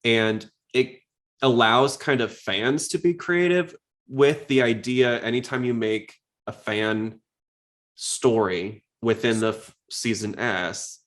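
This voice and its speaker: A man's voice: mid-range voice, slow, fairly bright tone. A man hosting a casual fan podcast, chatty and full of opinions. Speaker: podcast host.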